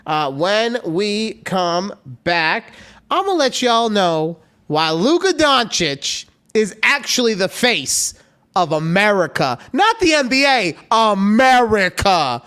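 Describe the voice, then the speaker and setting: A man's voice: sits high, big pitch swings, slow pace, sharp tone, clean tone. A man co-hosting a casual podcast, chatting in a rambling way and acting out the people he quotes. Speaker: podcast co-host.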